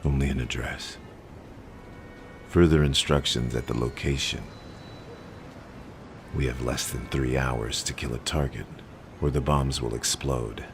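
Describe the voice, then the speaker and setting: A man playing a stern, distrustful vigilante in an audio roleplay, with a gravelly growl and terse, commanding delivery. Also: extremely low pitch, steady tone, unhurried, pauses often. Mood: stern, urgent. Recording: loud noisy ambience behind the voice.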